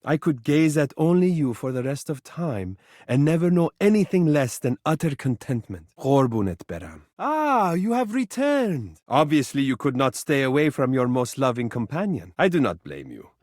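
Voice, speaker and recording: A man's voice: mid-range voice, very animated, normal speed, few pauses, a little mellow, dry recording, studio quality, lightly acted. A man voicing a vain, adoring mirror in a video game, speaking in flowery, formal, dramatic phrases. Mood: adoring, smug. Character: vain, romantic, adoring living mirror, theatrical and tender. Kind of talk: video game character voice acting.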